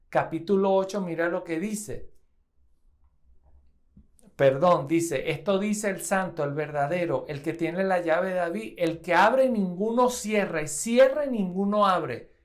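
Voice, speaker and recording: A woman from Venezuela preaching a sermon, reading scripture aloud and repeating phrases for emphasis. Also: medium pitch.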